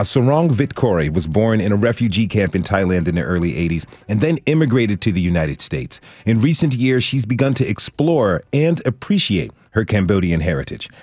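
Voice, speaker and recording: A man hosting a radio show, reading a measured, scripted introduction and welcoming his guests. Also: medium pitch, a little mellow.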